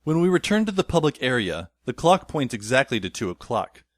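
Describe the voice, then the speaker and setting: A man's voice: medium pitch, big pitch swings, a little fast, dry recording, natural voice. A man playing a wry teenage schoolboy who narrates an audio drama in a dry, descriptive way.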